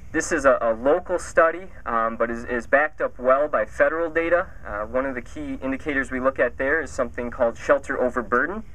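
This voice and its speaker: Male speaker, bright voice, steady informative delivery with stats. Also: mid-range voice, slight background noise, low-quality recording. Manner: measured, informative, emphatic on numbers. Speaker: nonprofit alliance director. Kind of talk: public remarks with statistics. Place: outdoors at a house build site.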